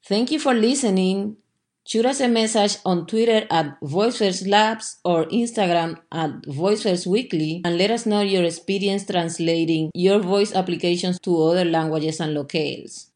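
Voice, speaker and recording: A woman's voice: fairly low pitch, normal speed, a little mellow. A woman hosting a short podcast briefing, speaking from a script as she presents. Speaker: podcast host.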